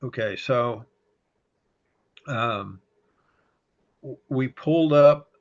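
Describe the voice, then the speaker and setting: Slow, gravelly man with a slight Texas accent. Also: mid-range voice. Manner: unhurried, halting, with many pauses and fillers. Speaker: fire service chaplain and paramedic. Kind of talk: recounting memories in an interview. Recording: dull lo-fi audio.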